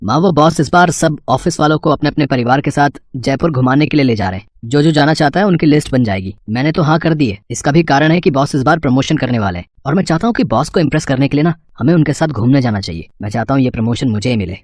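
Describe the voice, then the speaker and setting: A man voicing an irritable, ambitious young husband with a brisk, exasperated manner in a cartoon story. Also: medium pitch, lively intonation, no room sound, studio quality, natural voice. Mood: brisk, earnest. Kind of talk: Hindi moral story cartoon acting.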